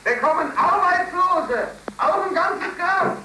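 Eccentric jobless young man, bitter, theatrical, slow, 1930s radio drama, hissy old recording. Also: very high voice, lively intonation, sharp tone, heavily acted, rough recording. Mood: calling out. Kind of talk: 1930s radio play acting.

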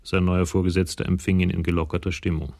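Male narrator for radio crime drama: low, creaky voice, sober scripted delivery. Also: level tone, fast, a little mellow, dry recording, studio quality.

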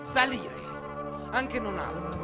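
A man playing a high-pitched, defiant swordsman-poet. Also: lively intonation, takes it slowly. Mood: defiant, impassioned. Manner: theatrical, declamatory, insistent rhetorical questions. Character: proud, hot-tempered swordsman-poet with a huge nose, defiant. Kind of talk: stage-style radio theatre verse monologue. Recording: loud music under the voice. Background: music.

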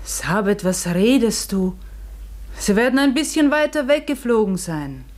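Concerned tender woman, radio play, soothing, unhurried, lively intonation. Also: a little high. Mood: puzzled. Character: tender, concerned human woman consoling a friend. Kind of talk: German radio play acting.

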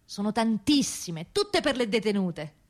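A woman acts out a female prison deputy director in a radio play. She is welcoming and earnest, a great talker. Her voice sits at an ordinary female pitch. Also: very animated, measured pace. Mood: chatty, proud. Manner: talkative, earnest.

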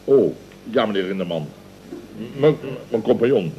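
An actor playing a pompous, easily flustered middle-aged contractor, blustering and stammering in a radio comedy serial. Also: male voice, lowish voice, expressive, normal speed, slight background noise, lightly acted. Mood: pompous, deferential. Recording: muffled old radio recording.

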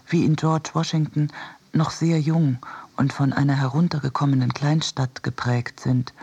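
Male essay reader for radio: mid-pitched, rough and breathy, deliberate delivery. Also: a little mellow. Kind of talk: scripted literary essay reading.

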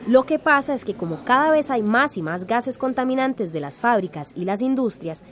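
A well-informed village woman in a radio drama. Her voice sits a little high, and she explains earnestly. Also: lively intonation, moderate pace, a bit bright. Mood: earnest, explanatory.

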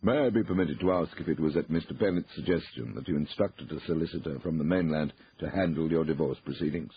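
Man playing a very deep-voiced, probing lawyer. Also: fast talker, breathy edge, muffled recording, exaggerated voice. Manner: formal, pointed. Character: courteous but probing male law partner. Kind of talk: acted audiobook dialogue.

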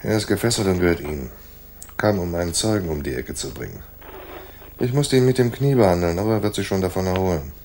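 An actor playing a wry, tough private detective in a hard-boiled radio play, husky and laconic, in dialogue and narration. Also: male voice, low-pitched, animated, fast talker, a little mellow, a little hiss or hum. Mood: dry, wry. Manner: dry, understated.

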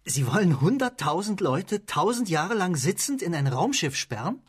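Radio play: sceptical, inventive male scientist, fairly high voice, excitable, thinking aloud. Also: moderate pace, breathy edge, full character voice. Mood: incredulous, sceptical. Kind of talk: German radio play acting.

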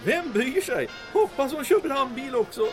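An actor playing a cheeky, boisterous small-town fire chief and constable in a comic radio drama. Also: male voice, very high voice, sing-song, over music. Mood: teasing.

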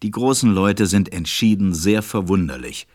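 A man narrating a scripted children's story in a German radio play, with measured storytelling. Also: sits low, expressive, darkish tone, no echo, studio quality.

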